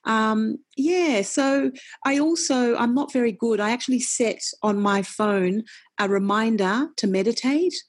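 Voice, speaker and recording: A middle-aged woman, a wellness coach, talking in a casual interview, forceful and passionate, with many quick restarts. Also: fairly high pitch. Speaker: nutritionist and wellness coach, guest.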